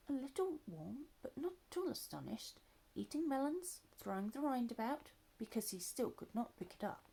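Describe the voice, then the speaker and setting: Woman storyteller, animated read-aloud, warm gravelly husky tone. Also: a little high, rough recording. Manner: expressive, lively voices, drops to soft asides.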